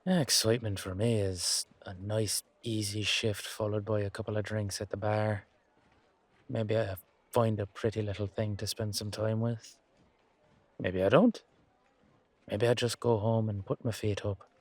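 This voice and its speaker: A man playing a weary, down-to-earth knight guardsman in a fantasy audio drama, musing colloquially to his partner with hesitant pauses. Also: on the low side, little pitch movement, dry recording. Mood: grumbling, wry. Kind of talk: roleplay audio drama monologue addressed to a partner.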